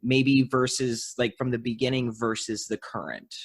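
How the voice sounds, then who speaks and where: A man hosting a casual interview podcast, speaking earnestly and a little haltingly as his thoughts form. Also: mid-range voice, fairly even intonation. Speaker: podcast host.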